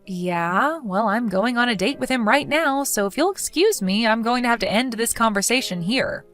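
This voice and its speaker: A woman playing a level-headed, quietly fed-up young woman with a dry, matter-of-fact manner in a dramatized story. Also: a little high, animated, moderate pace, faint music behind. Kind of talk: dramatized text-message story voice acting.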